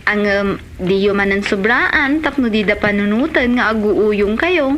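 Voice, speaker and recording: A woman's voice: medium pitch, lively intonation, a little slow, fairly bright tone, a little hiss or hum. A woman acting as a sensible, teasing grown daughter in an Ilocano comedy drama. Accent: Filipino, Ilocano.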